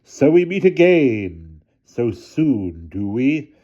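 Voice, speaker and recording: A man's voice: mid-range voice, sing-song, slow, mellow, muffled recording, voice pushed up. A man voicing a bold, stern aunt in a storybook, speaking firmly and declaiming as if to a mob. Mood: curt, defiant. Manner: firm, declamatory.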